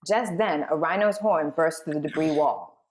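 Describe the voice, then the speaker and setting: Lower-voiced woman hosting a table read. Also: moderate pace. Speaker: host and voice director. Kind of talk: live discussion and reading stage directions.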